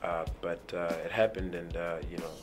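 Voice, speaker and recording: A young South African rugby player in his twenties answering interview questions in a relaxed way, repeating words and using many "you know" fillers. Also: sits low, normal speed, loud music behind the voice. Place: indoor press backdrop.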